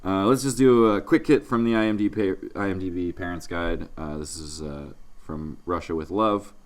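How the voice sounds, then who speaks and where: Low-voiced man with dry, sarcastic delivery. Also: moderate pace. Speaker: podcast co-host. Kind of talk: casual comedy podcast banter.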